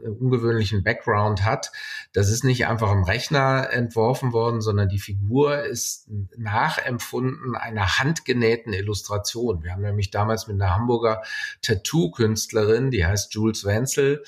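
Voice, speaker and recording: A man giving conversational interview answers as a guest, searching haltingly for words and mixing in English business jargon. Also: on the low side. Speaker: interview guest, company product executive.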